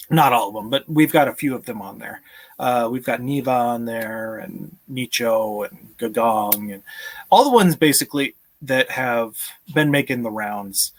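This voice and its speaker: A man in his thirties hosting a live stream, chatting casually and answering viewer questions in a friendly, appreciative way. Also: medium pitch. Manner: friendly, appreciative, chuckles often. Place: small room at home, indoors.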